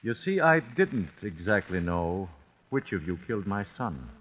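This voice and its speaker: A man acting in a 1940s radio drama as a grim, wealthy, vengeful father, speaking in a deliberate, solemn, formal way. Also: on the low side, very animated, breathy edge, heavily acted. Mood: grim, composed. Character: grim, vengeful wealthy father, coolly judging his suspects. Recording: muffled, hissy 1940s radio recording.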